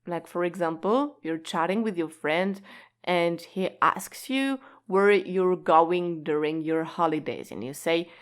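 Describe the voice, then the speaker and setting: Slow, patient woman teaching a lesson. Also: lowish voice. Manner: slow, patient explaining with drawn-out emphasis and light laughs. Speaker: Japanese language teacher. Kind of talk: explanatory online lesson.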